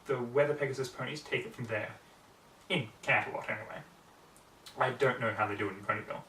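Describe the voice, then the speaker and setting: A serious, patient lady unicorn teacher from a storybook as a man acts it out. The delivery is measured and coaxing, set back from the mic in a roomy space with faint noise. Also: mid-range voice, pushed and bright, distant mic, natural voice. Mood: explaining.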